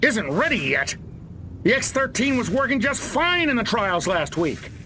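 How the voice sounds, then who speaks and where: An irate military commander for a video game. His voice is strained very high and comes out bright and forceful, barking and demanding, over faint vehicle noise. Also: very animated, normal speed, heavily acted. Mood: exasperated. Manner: barking, foul-mouthed, demanding. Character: irate blustering male army general. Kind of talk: video game cutscene acting.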